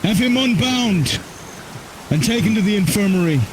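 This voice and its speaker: A man playing a stern commander handing out punishment in online roleplay, over loud rain noise. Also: high voice, animated, moderate pace, poor audio. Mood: stern, commanding.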